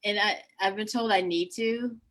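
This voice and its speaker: A woman's voice: mid-range voice, fairly even intonation, normal speed, fairly bright tone. Friendly woman hosting a chat. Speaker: host. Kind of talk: casual video chat.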